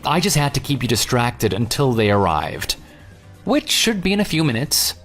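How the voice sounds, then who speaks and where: Male actor as bitter ex-fiancé, smug and taunting, lively swings in pitch, for an audio drama. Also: moderate pace, faint music behind. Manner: sarcastic, taunting. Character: vengeful jilted ex-fiancé, sarcastic and coldly smug. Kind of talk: dramatized phone dialogue.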